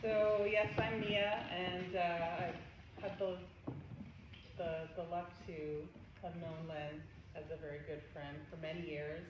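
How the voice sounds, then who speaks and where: A woman with a loud voice giving a casual, laughing tribute to a friend at a memorial, with many restarts. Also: fairly low pitch, steady tone, slow. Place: memorial gathering in a large room. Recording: echoey hall, dull distant mic, audible hum.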